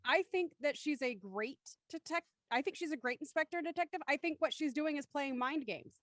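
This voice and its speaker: A woman's voice: sits high, lively intonation, brisk, fairly bright tone, dry recording, studio quality. A woman in a casual group discussion, offering speculative theories and firm opinions. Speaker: cast member discussing an audio drama.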